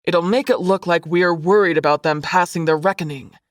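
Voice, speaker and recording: A woman voicing a menacing young male fanged creature in an audiobook, with a low hiss. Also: a little fast, dry recording, studio quality, close to an everyday voice. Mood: warning. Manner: low, hissing.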